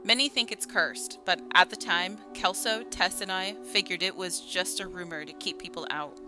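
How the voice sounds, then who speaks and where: A woman's voice: on the low side, normal speed, natural voice. Woman playing a haunted horror-show caller over music. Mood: rueful. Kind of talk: dramatic horror storytelling, radio drama. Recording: music under the voice.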